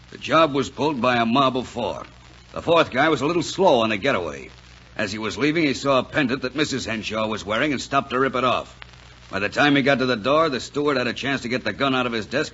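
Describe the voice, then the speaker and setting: An actor, a man, playing a gruff, suspicious small-town police chief who barks orders in 1940s radio drama style. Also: medium pitch, bright, forceful tone. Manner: barking, commanding, sardonic. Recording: dull vintage 1940s radio broadcast.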